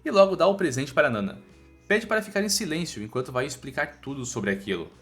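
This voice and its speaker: A man's voice: a little high, lively intonation, quick pace, a bit bright. A man with a Brazilian accent narrating a scripted comic story recap in a playful, colloquial storytelling manner. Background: background music.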